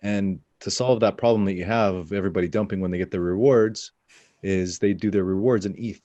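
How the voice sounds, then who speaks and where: A man in his thirties hosting an interview over a video call, relaxed and thinking aloud through earphones. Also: low voice, a little fast. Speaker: host. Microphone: earphones with cable mic, video call. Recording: dull, dry video call audio.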